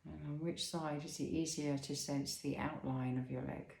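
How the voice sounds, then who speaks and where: A man leading a guided body scan as an instructor, speaking in a soothing, unhurried way with pauses and gentle questions. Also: on the high side, steady tone, creaky, echoey room.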